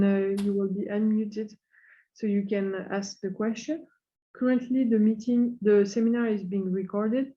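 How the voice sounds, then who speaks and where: A woman opens an online seminar, welcoming everyone and running through the housekeeping rules. She speaks politely and somewhat haltingly, and her voice is mellow and dark. The call audio is dull and muffled, and she sits at a middle pitch. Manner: polite, halting, full of hesitations. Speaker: webinar host and moderator. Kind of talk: formal introduction and housekeeping. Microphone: computer mic on a video call.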